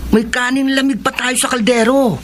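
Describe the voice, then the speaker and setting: High-pitched hungry Filipino kid. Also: steady tone, normal speed, fairly bright tone, a little hiss or hum. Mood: hungry, whiny. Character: hungry, childlike younger sibling, eager and whiny. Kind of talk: Filipino radio drama acting.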